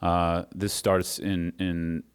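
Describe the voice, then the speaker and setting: Male meditation teacher, deep and resonant, slow measured delivery. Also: level tone, clear, resonant tone. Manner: slow, soft-spoken meditation guidance; chants mantra slowly and drawn out. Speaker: guest speaker on Buddhism. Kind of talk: guided meditation and chanting, then lecture. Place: meditation hall at a religious society.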